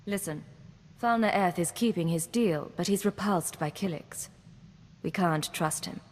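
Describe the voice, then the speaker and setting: Cool, low-voiced secret agent. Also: female voice, measured pace. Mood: guarded. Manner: guarded, probing, wry. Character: cool, wry female Imperial secret agent. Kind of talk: scripted game dialogue. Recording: noisy game capture with long reverb.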